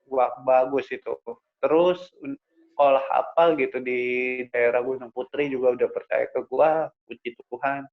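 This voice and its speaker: A young man, a guest answering in a casual chat with hesitant, halting phrases. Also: medium pitch, steady tone. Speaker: podcast guest. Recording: dull lo-fi recording.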